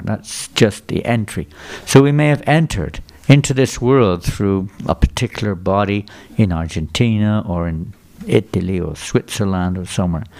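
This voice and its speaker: An older man gives a temple sermon in a loose, conversational way. He puts questions to the crowd and repeats what they call back. His voice sits low. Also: darkish tone. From England. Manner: conversational, questions the audience and repeats their answers. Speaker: visiting speaker at a temple. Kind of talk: sermon lecture. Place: temple hall before a live audience.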